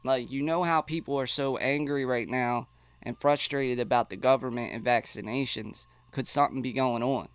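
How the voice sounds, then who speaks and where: A man's voice: mid-range voice, steady tone, normal speed, clean tone, no echo. A man with a stuffy nose hosting a casual live stream, chatting and reading viewer comments aloud.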